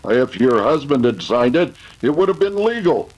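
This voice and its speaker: A man playing a calm, commanding cowboy hero in an old-time radio western. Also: mid-range voice, normal speed, muffled recording. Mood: assured.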